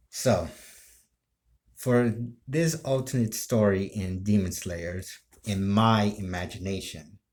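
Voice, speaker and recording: A man telling a story casually, in halting, broken phrases with frequent restarts. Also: on the low side, darkish tone. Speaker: amateur video creator telling his role-play ideas.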